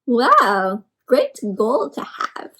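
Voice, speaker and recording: A woman with a high voice reads a picture book aloud to young children. She speaks like an encouraging teacher, talking straight to her listeners, asking them questions and explaining words simply. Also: huge pitch swings, very slow, sharp tone. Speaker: read-aloud storyteller for children.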